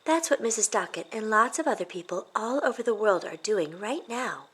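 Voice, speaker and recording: A woman reading a children's picture book aloud as expressive story narration. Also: medium pitch, moderate pace, husky. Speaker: storybook reader.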